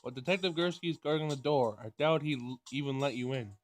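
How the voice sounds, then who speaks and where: A man's voice: mid-range voice, brisk. A man doing a let's play of a visual novel, reading dialogue aloud with casual commentary and stumbling over words as he goes. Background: game music.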